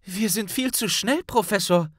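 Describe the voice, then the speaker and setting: Narrator as frightened young wizard boy, high, pleading, stammering, audiobook drama. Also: moderate pace, husky, no echo, studio quality, close to an everyday voice. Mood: desperate.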